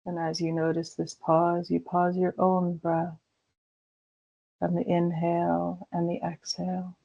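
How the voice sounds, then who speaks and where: A woman leading a guided meditation in a slow, soothing way with gentle pauses. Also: lowish voice, monotone, creaky. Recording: dull lo-fi recording.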